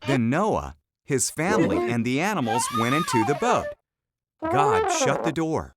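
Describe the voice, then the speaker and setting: A narrator reading a children's Bible story in simple, measured short phrases, in a scripted storytelling style. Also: medium pitch, wildly swooping pitch. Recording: loud music under the voice. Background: loud background music.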